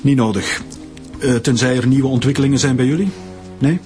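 An actor with a Flemish accent playing a brisk, formal chief police commissioner in a radio drama, measured and officious. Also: male voice, medium pitch, darkish tone, lightly acted. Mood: firm, formal. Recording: music behind the dialogue.

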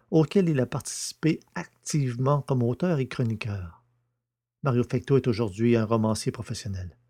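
Male podcast host for scripted credits and announcements, medium pitch, soft-edged mellow tone.

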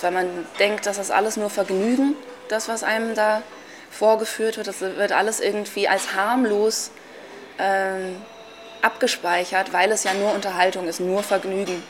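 A young woman giving a reflective interview statement, thinking aloud and pausing often to find her words. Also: medium pitch. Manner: thoughtful, searching for words with many hesitations.

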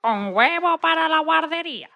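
A woman's voice: high-pitched, animated, moderate pace, gravelly, sharp tone, full character voice. A woman playing an eager, bossy laying hen in a children's audio story. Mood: eager, busy.

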